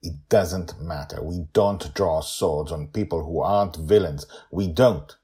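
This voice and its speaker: A man's voice: deep voice, measured pace, warm tone, husky, heavily acted. A man voicing a stern, exasperated father in a story, firm, scolding and emphatic. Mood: stern, angry.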